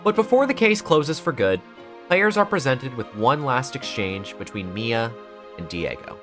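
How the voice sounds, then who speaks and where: A man's voice: mid-range voice, very animated, unhurried, music in the background. A man delivering scripted, analytical video essay narration in an earnest manner with dramatic emphasis.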